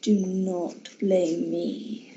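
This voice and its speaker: Very slow, solemn, husky spirit, acted by a woman. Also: fairly low pitch, little pitch movement, warm tone, exaggerated voice. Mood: solemn, reproachful. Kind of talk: amateur radio drama acting. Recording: dull lo-fi amateur recording.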